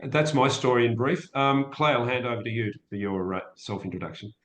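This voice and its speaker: A man co-chairing a webinar, speaking haltingly with many fillers. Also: fairly even intonation. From Australia. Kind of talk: webinar introduction. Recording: echoey room with faint rumble.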